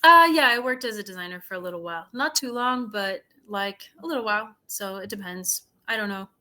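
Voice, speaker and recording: A young woman with a bright, crisp voice, chatting casually as an English tutor. She talks in a loose, hedging way, full of "like" and "I don't know". She is heard over a video call. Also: mid-range voice, a little fast. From United States. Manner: relaxed, hesitant, lots of "like" and "I don't know". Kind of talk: casual conversation practice.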